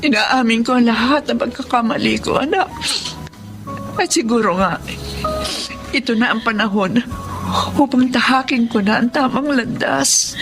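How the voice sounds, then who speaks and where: A woman's voice: fairly high pitch, normal speed, pauses often, music in the background, natural voice. A woman acting a remorseful, pleading mother in a Filipino radio drama, with a Filipino accent. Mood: earnest remorse. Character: remorseful, pleading mother begging her daughter's forgiveness.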